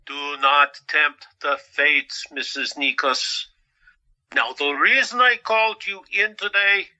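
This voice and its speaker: A man's voice: on the high side, takes it slowly, pushed and bright, muffled recording, full character voice. A man playing an exasperated, pompous doctor in his fifties, theatrically scolding and long-suffering in an audio drama. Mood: stern. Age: 50s. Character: exasperated, pompous fifty-year-old male doctor and hospital boss.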